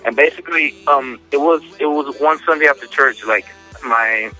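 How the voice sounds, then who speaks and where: A man telling his personal testimony in a casual, slangy interview, halting and repeating words as he goes. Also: mid-range voice, pushed and bright, low-quality recording. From United States, born in New York, raised in Miami. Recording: phone interview with music behind.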